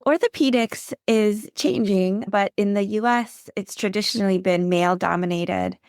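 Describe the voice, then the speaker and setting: A woman hosts an interview podcast, asking measured, polite questions. Her middle-pitched voice has a gravelly edge, and the recording is close and dry. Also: a little mellow, no room sound. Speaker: podcast host.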